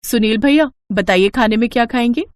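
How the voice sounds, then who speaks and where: A woman voicing a gentle, innocent young village bride in a storybook tale, polite and earnest. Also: on the high side, a little fast, slight background noise, close to an everyday voice. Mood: sweet, cheerful. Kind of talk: animated storybook acting.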